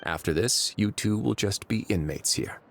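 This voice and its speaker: A man's voice: lowish voice, normal speed, faint music behind. A man voicing a suave prison warden in a game, courteous with a dry, sarcastic edge. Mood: wry. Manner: smooth, courteous, wryly sarcastic. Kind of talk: game voice acting.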